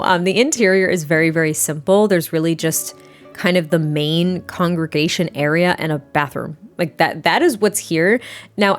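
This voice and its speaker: Woman, young adult, a bit hoarse and rough, lowish voice, rambling chatty voiceover, faint music underneath. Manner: chatty and rambling, self-correcting, tired. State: slightly hoarse, a little rough. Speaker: Sims speed build creator. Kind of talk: casual voiceover chat.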